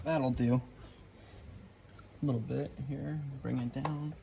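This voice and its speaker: A man's voice: mid-range voice, steady tone, slow pace, creaky. A man giving an informal hands-on tutorial, talking as he works, on a slightly noisy home video with handling clicks. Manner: informal, talking while working with his hands. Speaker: vlogger demonstrating a CB radio installation. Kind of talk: casual hands-on tutorial talk. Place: garage, working at a car.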